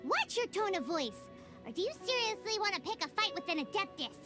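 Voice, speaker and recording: A woman voicing a tiny floating fairy-like girl companion, chirpy and indignant, with a high squeaky childlike voice, over game music. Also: big pitch swings, brisk. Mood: excited. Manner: chirpy, indignant, talks of herself in third person. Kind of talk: video game voice acting. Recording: game soundtrack under the voice.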